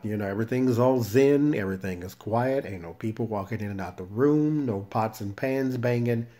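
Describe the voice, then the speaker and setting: A man with a very soft, naturally muffled voice, chatting casually to his viewers as a tarot channel host, recorded on a phone at home. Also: on the low side. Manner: quiet, unhurried, never raises his voice. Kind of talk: casual vlog chat to viewers. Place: shared room at home. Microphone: cell phone camera.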